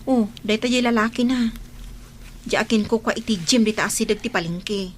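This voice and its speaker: An actress playing a sharp-tongued, agitated woman with a Filipino Ilocano accent in an emotional radio drama. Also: on the high side, moderate pace, slight background noise, lightly acted. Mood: insistent.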